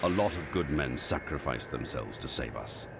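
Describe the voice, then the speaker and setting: A very deep, battle-weary soldier. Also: male voice, expressive, normal speed, creaky, music in the background, heavily acted. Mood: grim, weary. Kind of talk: video game cutscene acting.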